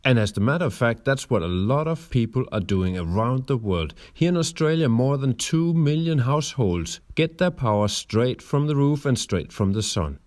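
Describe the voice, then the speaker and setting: A man from Denmark with a Danish accent, presenting persuasively and emphatically in measured phrases, speaking straight to the listener. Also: medium pitch, animated, a little mellow.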